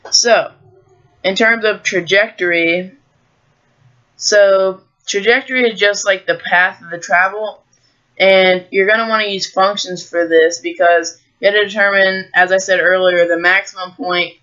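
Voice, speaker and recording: An American teenage high school student giving an explanatory school presentation. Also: bright, forceful tone, clean tone, highs cut off.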